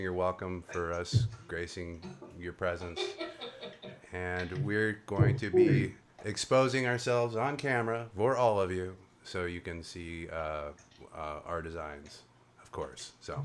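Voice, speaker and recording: A man with a fairly low voice hosts a loose panel chat over a video call. He jokes and rambles, poking fun at himself, and a faint hiss sits under his voice. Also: fairly bright tone. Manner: jokey, rambling, self-mocking. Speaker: host of a panel show. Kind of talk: casual joking chat.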